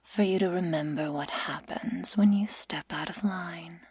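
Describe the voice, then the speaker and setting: A woman voicing a sadistic, mockingly tender female werewolf in a breathy, husky, deliberate whisper for an ASMR roleplay, close to the microphone. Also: fairly low pitch, a little mellow, dry recording. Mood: cold, menacing. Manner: slow, taunting, deliberate delivery with sighs.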